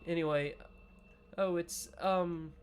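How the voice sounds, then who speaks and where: A man casually playing through a visual novel, reading lines aloud and cracking self-mocking jokes with swearing and sudden loud laughs. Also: on the high side, fairly even intonation, takes it slowly, a little hiss or hum. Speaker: gaming YouTuber. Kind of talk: casual let's-play commentary with read-aloud narration.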